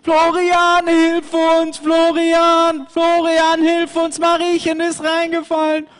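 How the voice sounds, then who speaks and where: A man voicing a small, frightened boy lamb in a very high, squeaky voice. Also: takes it slowly, long reverb tail, soft background music. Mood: panicked.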